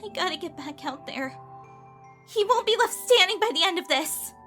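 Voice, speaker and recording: A woman's voice: very high voice, lively intonation, normal speed, pushed and bright, soft background music, exaggerated voice. A woman playing a distraught, loyal young heroine with emotional, pleading delivery in an amateur audio drama. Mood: resolved.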